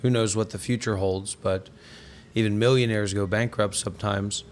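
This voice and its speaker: A man's voice: lowish voice, fairly even intonation, normal speed, slight background noise. Man in his thirties chatting discursively. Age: 30s. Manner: discursive, often says "you know". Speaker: host, former monk and content creator. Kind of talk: casual conversational livestream chat.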